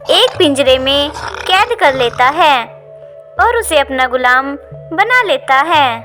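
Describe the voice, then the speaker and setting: Slow, high-pitched woman storyteller. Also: fairly bright tone. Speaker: story narrator. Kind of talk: scripted children's animal story narration.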